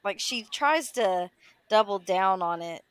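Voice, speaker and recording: A woman co-hosting a casual chat, reading comments aloud and reacting in an animated way. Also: mid-range voice, a little slow, clean tone, no room sound.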